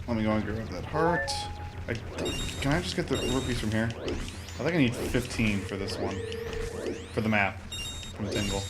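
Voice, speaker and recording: A man's voice: lowish voice, normal speed, pauses often. A young man giving casual, rambling gameplay commentary with informal, mock-exasperated asides. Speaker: gamer, Let's Play host. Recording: game music behind the voice. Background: video game music.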